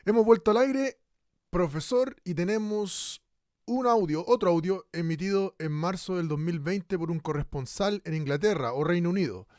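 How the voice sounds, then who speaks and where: A man playing a high-voiced radio host. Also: moderate pace, a little mellow, no room sound, clean studio sound, lightly acted. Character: eager male radio host, brisk interviewer. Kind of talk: radio drama acting.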